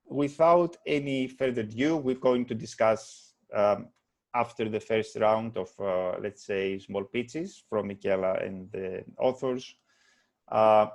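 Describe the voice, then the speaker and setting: A man introducing an online book launch, halting and full of fillers. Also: mid-range voice, darkish tone. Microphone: video call.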